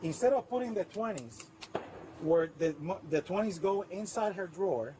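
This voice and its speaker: A man's voice: high voice, animated, moderate pace, noisy background. A man with a Puerto Rican accent, a police officer patiently explaining a case step by step at a front door. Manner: patient, detailed, methodical. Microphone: body camera.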